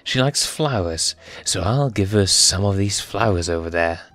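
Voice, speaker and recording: A man's voice: deep voice, animated, normal speed, fairly bright tone, soft background music. A man voicing a playful, hapless young male lion cub in an amateur comic dub, stammering and hesitant. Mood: earnest. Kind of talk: amateur comic fandub acting.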